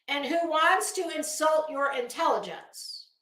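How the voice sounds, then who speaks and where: A woman's voice: high-pitched, expressive, unhurried, sharp tone, echoey room. A woman delivering an opinionated online commentary rant, blunt, scolding, emphatic and sweary. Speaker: online commentary video host.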